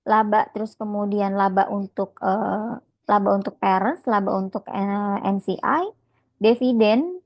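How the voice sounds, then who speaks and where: A woman's voice: mid-range voice, gravelly, a little mellow, no room sound. A woman lecturing informally on accounting step by step, conversational and often checking in with short tags.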